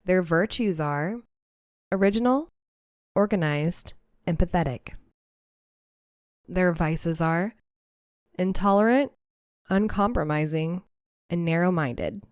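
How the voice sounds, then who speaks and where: A woman's voice: lowish voice, slow, pauses often, no room sound, clean studio sound. Chatty nasal woman podcast host. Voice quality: slightly nasal. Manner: laughs easily, chatty and upbeat. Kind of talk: casual podcast chat and reading aloud.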